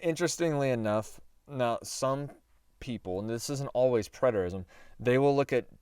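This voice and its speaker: A male host teaching Bible prophecy in a casual, rambling way. He corrects himself as he goes, and his voice is slightly hoarse. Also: medium pitch, normal speed, darkish tone, no room sound, clean studio sound, distant mic. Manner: chatty and rambling, with self-corrections and asides.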